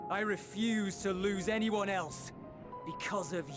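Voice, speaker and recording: An actor playing a stern, embittered soldier in a video game cutscene, speaking with measured, restrained anger. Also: male voice, high-pitched, fairly even intonation, over music. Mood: bitter.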